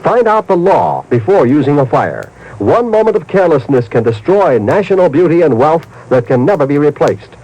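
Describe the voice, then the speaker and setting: A man's voice: fairly high pitch, sing-song, normal speed, few pauses, warm tone, poor audio. A man reading a scripted mid-century radio public service announcement, earnest, formal and emphatic.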